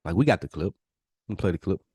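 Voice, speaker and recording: A man's voice: low-pitched, expressive, fast talker, darkish tone, breathy edge, dry recording. An American man hosting a podcast in a casual, reflective way, feeling out his wording as he goes. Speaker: podcast host.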